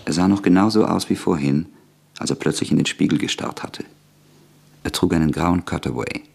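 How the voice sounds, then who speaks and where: A man narrating a radio play in a measured, scripted way. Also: vocal fry, a little mellow, husky. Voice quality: deep, measured.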